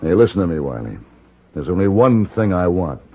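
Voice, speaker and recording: An actor playing a terse, gruff, hard-bitten ex-convict gunman in an old-time radio western. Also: low voice, big pitch swings, brisk, slight background noise. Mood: curt, hard. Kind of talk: old-time radio western acting and first-person narration. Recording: muffled old-time radio recording with music.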